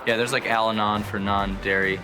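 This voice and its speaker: Brief: young male actor, low voice, rambles and jokes at himself, loud music behind. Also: flat delivery, fairly quick. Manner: giggly, rambling, self-mocking. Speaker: actor being interviewed. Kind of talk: casual joking chat. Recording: music over the talk.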